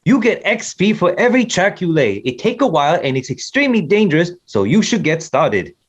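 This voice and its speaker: An actor playing an elderly Chinese laborer, a video game guide character with a thick Chinese accent and broken English. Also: male voice, high voice, big pitch swings, a little fast, slightly rough, muffled recording, heavily acted. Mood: unbothered, instructive. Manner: broken English, cheerfully scripted. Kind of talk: table read of a comedy screenplay.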